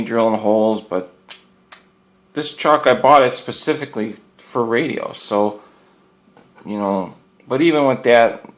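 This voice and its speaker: A man giving a casual, unscripted product review as a hobbyist, rambling in a folksy way and circling back over his points. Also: fairly low pitch. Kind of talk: casual unscripted show-and-tell.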